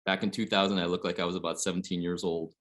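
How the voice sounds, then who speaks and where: A man in his forties from Oregon with a low, deep voice tells a long, winding story on a coaches' webinar panel. He rambles on with steady fillers between his points. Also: fast talker. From Oregon, USA. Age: 40s. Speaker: high school basketball coach.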